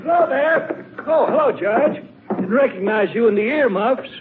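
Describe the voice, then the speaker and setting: A man's voice: very high-pitched, lively intonation, moderate pace, narrow, tinny audio. An actor playing a friendly, folksy small-town railway station man in 1940s radio comedy style. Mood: friendly, curious. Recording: noisy, band-limited 1940s radio broadcast.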